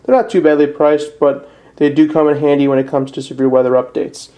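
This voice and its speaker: Young man, Midwestern American accent, monotone, soft-edged relaxed tone, hesitant plain-spoken amateur tutorial. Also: a little high, fairly quick. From northern Illinois, USA. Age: young adult. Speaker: amateur how-to presenter. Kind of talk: casual step-by-step tutorial. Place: indoors at a desk.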